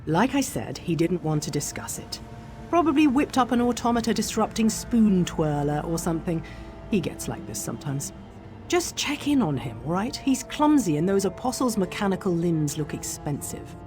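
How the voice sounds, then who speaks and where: A woman acts out a young dark elf explorer in a fantasy game, casual and wry. Her voice is on the low side with lively swings in pitch. Faint music sits behind her. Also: normal speed. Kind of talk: scripted game dialogue.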